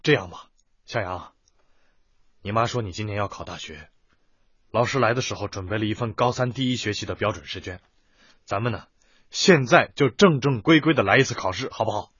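A young male tutor in a slightly muffled radio drama recording. His voice is very husky and forceful, swinging widely in pitch. He is emphatic and schoolmasterly, stern but soft-hearted. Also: lively intonation, a little fast, long pauses, dry recording. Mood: firm, businesslike.

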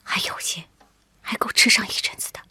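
An actress playing an anxious young pregnant wife in a radio drama, pleading stubbornly in a hushed, breathy voice. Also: female voice, fairly quick, no echo, whispered. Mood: worried, hushed.

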